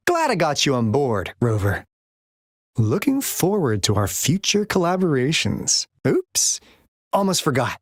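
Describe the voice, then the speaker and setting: A man's voice: on the high side, no echo, clean studio sound. An actor playing a breezy young male secret-agent adventurer in a video game, with a theatrical, playful delivery.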